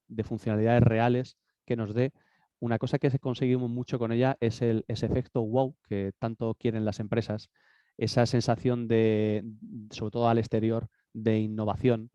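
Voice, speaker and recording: A man's voice: medium pitch, level tone, moderate pace, dark tone, smooth, no room sound, muffled recording. A man with a cold giving an informal business seminar talk from his office desk. He hesitates often, with many fillers and restarts. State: has a cold. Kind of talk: informal presentation.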